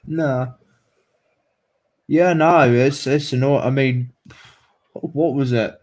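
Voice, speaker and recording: A man's voice: medium pitch, takes it slowly, darkish tone. A man from South Africa with a mixed South African, English, American and Irish accent, chatting in a casual, rambling, jokey way on a livestream. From South Africa, living in England.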